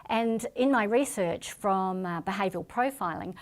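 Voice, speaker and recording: Middle-aged woman explaining calmly. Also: medium pitch, moderate pace. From New Zealand family, born in Singapore. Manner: calm, explanatory, self-promoting. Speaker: guest speaker and trainer. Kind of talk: interview answers.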